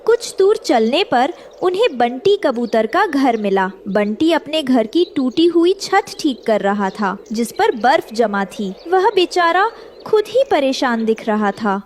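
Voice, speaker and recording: Female narrator for cartoon story: high, unhurried, animated reading. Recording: clear background noise.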